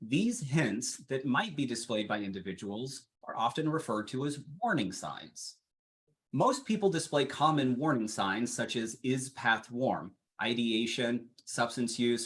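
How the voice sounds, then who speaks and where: A man delivers a training webinar from his slides. His voice sits at an ordinary middle pitch, and he reads at a steady, even pace. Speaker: presenter.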